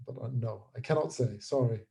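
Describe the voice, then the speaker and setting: A man with a dark, mellow voice at a medium pitch speaks as an interview guest. He talks casually and conversationally, as a creator discussing his work. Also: fairly quick, vocal fry.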